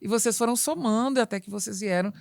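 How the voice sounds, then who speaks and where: A woman's voice: fairly high pitch, quick pace, creaky, a little mellow. A woman with a Brazilian Portuguese accent, interviewing guests conversationally as a podcast host.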